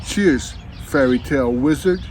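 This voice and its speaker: A man's voice: medium pitch, a little slow, a little mellow, a little hiss or hum. A man hosting a casual unboxing vlog, chatting to viewers in a folksy, slangy, laid-back way. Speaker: vlog host.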